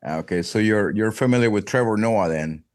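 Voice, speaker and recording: A man hosting a podcast interview who asks his guest questions in a halting way, often repeating himself. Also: lowish voice, a little mellow. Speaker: podcast host.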